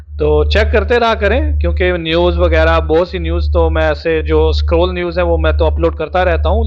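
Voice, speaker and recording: A man with a fairly high voice talks casually to camera, giving advice in an easy, chatty way. He keeps turning to his guest for agreement. The sound is dull and lo-fi, as if from a cheap mic. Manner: chatty, advising, asks for agreement. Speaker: YouTube host. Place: in Oman. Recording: lo-fi dull recording.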